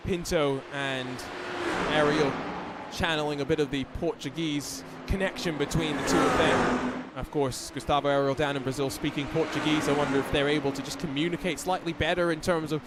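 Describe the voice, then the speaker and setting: A man's voice: a little high, fairly even intonation, a little fast, heavy background noise. A man giving live sim racing commentary, chatty and rambling, with fillers and restarts.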